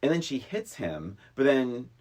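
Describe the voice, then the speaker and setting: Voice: medium pitch, big pitch swings, unhurried, darkish tone. A middle-aged co-host chatting casually about a film in an emphatic, blunt way. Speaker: podcast co-host.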